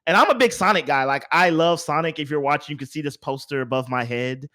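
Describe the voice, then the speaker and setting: A man's voice: fairly high pitch, fast talker, pushed and bright. A man hosting a podcast, chatting casually about movies in an informal, emphatic way. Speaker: podcast host.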